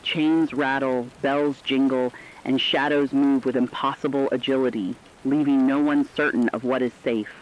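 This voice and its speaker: A man playing a solemn radio reporter, reading scripted horror bulletins in a measured, foreboding way. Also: mid-range voice, fairly even intonation, lightly acted. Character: solemn radio field reporter warning of terror. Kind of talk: scripted dramatic radio news narration. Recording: dull lo-fi radio sound, rain behind.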